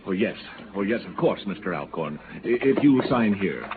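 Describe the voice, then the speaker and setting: An actor playing a fussy, deferential hotel desk clerk in a 1950s radio Western, officious and hesitant. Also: male voice, on the low side, animated, moderate pace. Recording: noisy, tinny old radio recording.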